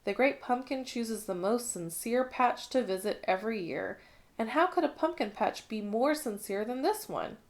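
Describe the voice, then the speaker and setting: A woman narrating a children's picture book in an expressive read-along style. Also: on the high side, normal speed. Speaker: storybook reader.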